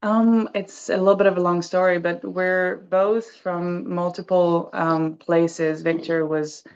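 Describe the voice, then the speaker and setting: A woman with a native French background, raised in the Dominican Republic, speaks over a video call. Her voice sits fairly low and sounds smooth. The remote line is dull and muffled, and she laughs often between thoughts. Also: little pitch movement. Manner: warm, laughs often, pauses with ums. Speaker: inn manager. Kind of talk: casual radio interview guest.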